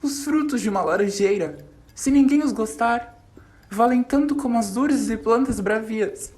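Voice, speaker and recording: Young woman playing solemn ancient monk, higher-pitched voice. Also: expressive, normal speed, darkish tone, natural voice. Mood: solemn, didactic. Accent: Brazilian. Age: teenager or young adult. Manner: solemn, didactic, declaiming. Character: learned 108-year-old male bonze teaching solemn doctrine. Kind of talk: student radio drama of literary classic.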